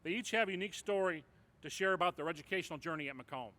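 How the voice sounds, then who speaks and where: A man's voice: on the high side, rapid-fire, pushed and bright. A man making a formal announcement at a ceremony, handing the program over to the student speakers. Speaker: ceremony official introducing speakers.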